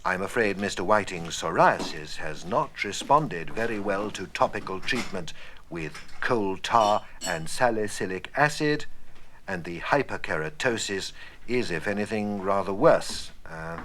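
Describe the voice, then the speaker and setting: An actor playing a brisk, courteous male dermatologist at work in an educational radio play. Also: lowish voice, lively intonation, a bit bright, a little hiss or hum. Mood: measured, dictating. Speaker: doctor in a scripted radio play. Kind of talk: educational radio play acting, dictation and phone calls.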